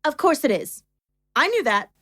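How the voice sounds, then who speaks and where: A woman's voice: sits high, big pitch swings, moderate pace, over loud music. A woman playing an imperious, short-tempered royal in an audio drama, with a haughty, commanding delivery. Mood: haughty, clipped.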